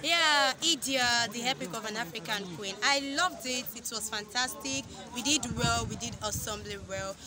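A woman's voice: a little high, moderate pace, hardly any pauses. A young woman with a Nigerian accent, a student performer, talking effusively and emphatically in an interview. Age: young adult. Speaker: student cast member being interviewed. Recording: busy outdoor crowd behind.

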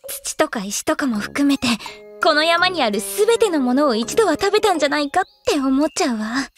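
Flustered earnest teen girl, anime drama, really high expressive voice. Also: a little fast, music in the background. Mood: casual. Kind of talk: Japanese anime audio drama acting.

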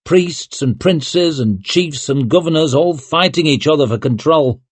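A man's voice: on the high side, animated, a little slow, breathy edge, no room sound, pitched above the performer's own voice. A man voicing a big, easygoing, teasing northern warrior in an audiobook. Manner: muttering, teasing.

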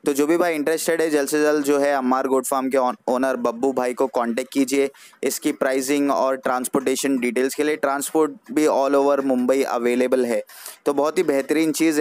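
A man's voice: medium pitch, clean tone, no room sound. The man you would hear narrating an online tour of animals for sale, repeating his favourite phrases with English mixed in, recorded dry. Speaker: video channel host. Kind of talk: vlog presentation of livestock for sale.

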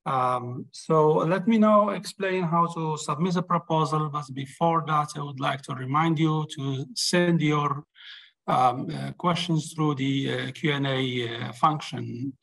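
A man hosting an online webinar, explaining steps with many pauses and fillers, on a video call mic. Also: medium pitch, steady tone, vocal fry, a bit bright, husky, rough recording. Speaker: webinar host. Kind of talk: webinar presentation. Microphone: webcam on a video call.

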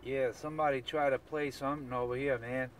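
A man's voice: mid-range voice, level tone, a little fast. A man chatting casually in CB radio slang, often repeating his phrases. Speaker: CB radio operator. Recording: distant mic, noisy radio hiss.

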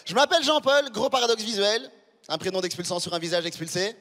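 A man's voice: sits high, fast talker, long reverb tail, noisy background. A man in his thirties, born in Rwanda, doing a stand-up routine with a handheld mic on a big theatre stage, punchy and chatty, teasing the crowd. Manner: punchy, conversational, teasing the audience. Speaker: stand-up comedian. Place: large theatre stage before a live audience. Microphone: handheld microphone.

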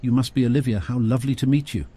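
A man's voice: medium pitch, fairly even intonation, very fast, warm tone, voice pushed up, close to an everyday voice. A man voicing a posh, fussy old lady neighbor in a storybook, theatrical and gushing, calling everyone darling.

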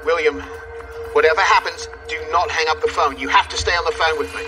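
An actor playing a male control tower officer who gives calm, steady instructions, heard from a distant mic with music behind. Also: on the high side, a little fast, close to an everyday voice. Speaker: reenactment actor.

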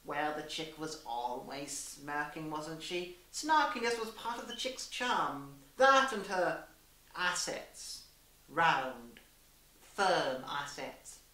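A woman's voice: on the low side, fairly bright tone. A young woman reading a story aloud in a theatrical, arch, mock-genteel manner. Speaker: story reader. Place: small room indoors. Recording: echoey room, distant mic.

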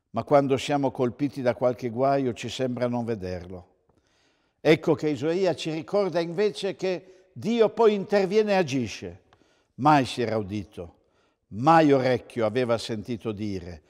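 Guy in his 60s preaching, solemn n measured, mid pitch, lots of room reverb. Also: a little mellow. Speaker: pastor. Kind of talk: sermon read from notes. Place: indoors, plain room with reverb, seated.

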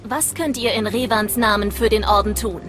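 A woman's voice: mid-range voice, steady tone, moderate pace, music in the background, lightly acted. A woman playing a proud, honour-bound mercenary commander in a video game, firm and formal. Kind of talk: video game cutscene acting.

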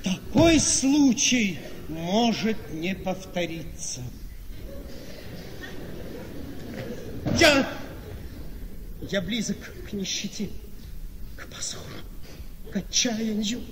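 High-pitched, theatrical, scheming gentleman husband. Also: animated. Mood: agitated, persuading. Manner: emphatic, dramatic, with weighty pauses. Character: vain, scheming handsome gentleman husband, ruined by debts, smoothly persuasive. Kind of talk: theatrical radio play acting. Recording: noisy, long echoing hall reverb.

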